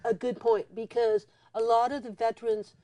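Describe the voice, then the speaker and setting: A woman's voice: fairly high pitch, little pitch movement, moderate pace, a loud crowd. A woman hosting an interview, asking questions and affirming her guest in an engaged way.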